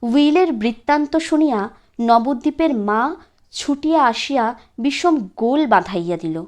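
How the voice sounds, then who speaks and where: A woman narrates a classic story in a high voice. She reads at a slow, measured pace, giving the literary prose expression like an audiobook storyteller. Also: lively intonation.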